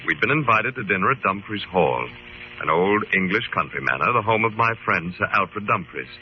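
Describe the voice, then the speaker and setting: An actor playing a calm, authoritative club leader, formal and measured, in old-time radio drama style. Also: male voice, fairly low pitch, close to an everyday voice. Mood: storytelling. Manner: formal, measured, clearly enunciated. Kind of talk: 1940s-50s radio drama acting and narration. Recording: muffled old radio broadcast with hiss.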